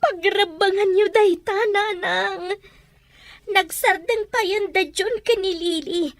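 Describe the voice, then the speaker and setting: A woman's voice: extremely high pitch, steady tone, takes it slowly, no echo, heavily acted. A woman with an Ilocano accent playing a hurt, pleading young daughter in a radio drama, with a plaintive, emotional delivery.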